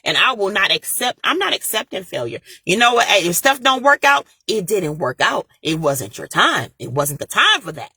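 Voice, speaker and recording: A woman's voice: mid-range voice, big pitch swings, normal speed, hardly any pauses, bright, forceful tone, slightly rough, dry recording, studio quality. A young woman with giving an impassioned motivational talk, repeating her points and speaking straight to her viewers. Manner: preachy, repeats phrases, talks straight to viewers. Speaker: motivational vlogger.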